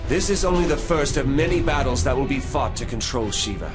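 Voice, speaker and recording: An actor playing a principled young military commander in a video game, speaking in an earnest, firm manner. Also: male voice, mid-range voice, lively intonation, a little fast, close to an everyday voice. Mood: resolute. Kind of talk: video game cutscene acting. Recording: music behind the voice.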